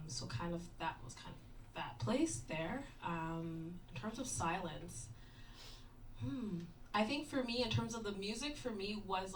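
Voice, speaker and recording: Lower-voiced dancer woman, candid. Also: a little hiss or hum. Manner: casual, candid, rambling. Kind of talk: post-show talkback. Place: performance venue. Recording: distant mic, faint ambience.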